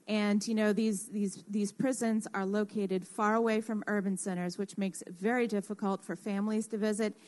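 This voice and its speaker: A woman explaining things earnestly and a little haltingly in an informal radio discussion. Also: medium pitch, fairly even intonation, a little mellow. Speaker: radio panelist who works in construction.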